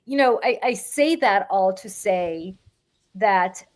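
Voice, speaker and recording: A woman hosting a casual political podcast, speaking with blunt, animated energy. She is middle-aged and often repeats her words as she pushes a point. Her pitch sits in an ordinary middle range for a woman. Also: slow. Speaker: podcast host.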